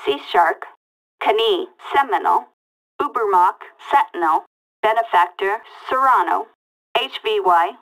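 Police dispatcher lady on the scanner, slow flat clipped words, radio filter, game voice. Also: medium pitch, poor audio. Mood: flat, procedural. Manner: clipped, detached, word-by-word delivery. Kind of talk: reading out vehicle makes and models as scanner callouts.

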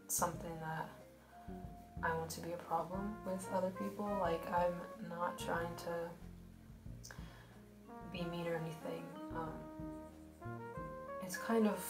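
A woman chatting in a casual voice-over while drawing, with a flat, monotonous, blunt way of talking full of fillers. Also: lowish voice. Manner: flat, monotonous speech pattern; blunt and rambling, many fillers. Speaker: art vlogger. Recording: roomy voice over background music.

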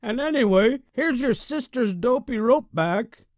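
A man voicing a big, sneering bully bear cub in a storybook reading, taunting in a loud sing-song. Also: extremely high pitch, lively intonation, a little slow, no echo, clean studio sound, pitched above the performer's own voice. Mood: mocking.

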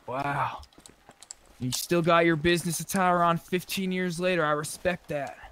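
A man's voice: on the high side, fairly even intonation, a little slow, a bit bright, clear, resonant tone. A man talking casually in character while playing an online roleplay game. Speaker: roleplay gamer.

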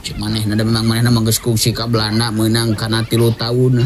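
A man's voice: lowish voice, completely flat delivery, a little fast, very noisy. A man with a Sundanese accent narrating a folktale in a dramatic oral storytelling style. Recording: music under the voice.

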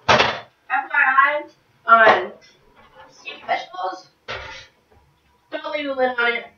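A woman in her thirties talks loosely through her cooking routine, filling gaps with ums and trailing off. Her voice sits a little high and comes from across a bouncy home kitchen. The camera audio sounds muffled, with a faint hiss. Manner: rambling, many ums, moves around while talking. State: getting over a cold. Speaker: fitness vlogger. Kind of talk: casual how-to chat. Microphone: far camera. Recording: roomy, distant, dull camera audio.

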